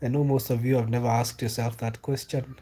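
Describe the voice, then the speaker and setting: A man's voice: medium pitch, little pitch movement, mellow, breathy edge. Easygoing young man from Kenya. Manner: loose and unhurried, many fillers and repeated questions. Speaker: livestreamer reading chat. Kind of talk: casual live-stream chat.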